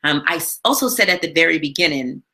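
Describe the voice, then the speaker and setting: A woman hosting a live stream from home, chatting casually with lots of fillers and a big, loud voice. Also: low voice, animated, fast talker. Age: adult with a daughter. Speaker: live stream show host. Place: home, not her usual studio.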